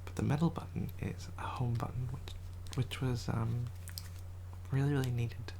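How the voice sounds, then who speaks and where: An ASMR creator in a quiet house, whispering a soft, rambling show and tell and often correcting themselves, voice a little rough from a cold. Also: mid-range voice, fairly even intonation, moderate pace, noisy background. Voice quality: whispered, soft-spoken delivery. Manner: rambling, self-correcting, chatty.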